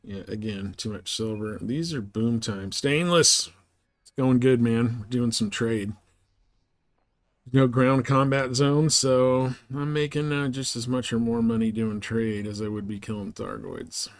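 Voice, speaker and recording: A man's voice: mid-range voice, fairly even intonation, measured pace. A man chatting casually as he streams his play of a video game. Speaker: live streamer playing a space trading game. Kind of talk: casual gameplay chat.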